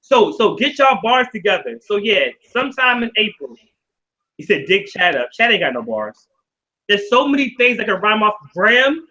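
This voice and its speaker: A man hosting a casual live video chat, rambling, laughing often and getting excited. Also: high-pitched, moderate pace. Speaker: show host. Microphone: video call. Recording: dull lo-fi video call audio.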